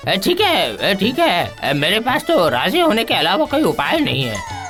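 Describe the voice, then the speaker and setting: A woman's voice: mid-range voice, wildly swooping pitch, a little slow, a bit bright. A woman voicing an anxious, wily middle-aged village father in a Hindi comedy cartoon, with a hesitant, exaggerated delivery. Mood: reluctant, scheming. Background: music.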